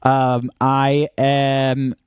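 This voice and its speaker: A man's voice: mid-range voice, monotone, very slow, clean tone, dry recording. Chatty man in his thirties, dry sound. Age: 30s. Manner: reads viewer comments aloud, chatty. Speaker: co-host. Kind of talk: casual live Q&A chat.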